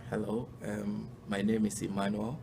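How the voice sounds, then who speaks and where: A man's voice: fairly low pitch, monotone, moderate pace, gravelly, dark tone. A man, a Fante speaker, giving a short careful self-introduction. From Ghana. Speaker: language contributor. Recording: noisy background hiss.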